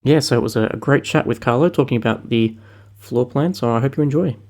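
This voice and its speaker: A male podcast host with a gravelly, fry-tinged voice at an ordinary pitch. He talks about software updates in a relaxed, chatty way, full of small fillers and restarts. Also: fast talker. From New Zealand. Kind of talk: casual tech podcast chat.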